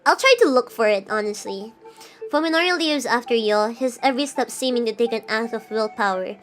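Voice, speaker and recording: A woman streamer with a fairly high voice reads a dark visual novel aloud on a relaxed livestream. She slips between steady narration and chatty, giggly asides, with soft game music under her. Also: fairly quick. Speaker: VTuber streamer.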